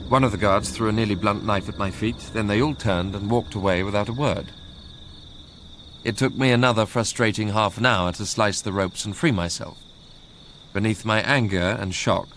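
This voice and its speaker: A man playing a brooding, proud young narrator in a radio drama, telling his story in measured literary phrases. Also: lowish voice, fairly bright tone, slight background noise, close to an everyday voice. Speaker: first-person narrator.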